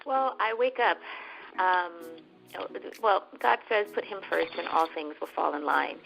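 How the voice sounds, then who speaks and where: A woman from Northern California chatting as an actress guest in an interview, animated and laughing as she talks, bringing up her faith. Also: lowish voice, unhurried, creaky, faint music behind, low-quality recording. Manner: animated, laughing, with religious references. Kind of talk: casual interview chat.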